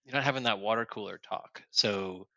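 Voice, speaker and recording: Bright, lo-fi male podcast guest. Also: lowish voice, normal speed, no echo. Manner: earnest, self-deprecating humor. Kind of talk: casual podcast chat.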